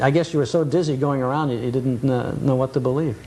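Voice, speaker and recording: A man's voice: medium pitch, steady tone, fast, dark tone, a little hiss or hum, rough recording. A man hosting a television nostalgia show, chatting casually and reminiscing as he interviews.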